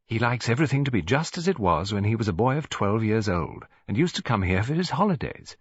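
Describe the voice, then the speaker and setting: A genteel English lady near seventy, as a male narrator puts her on, chatting and rambling politely with very lively, swooping pitch. The voice is a little husky, in a dull, close, dry audiobook recording. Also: fairly quick, no room sound, exaggerated voice. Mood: chatty, cheerful. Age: older.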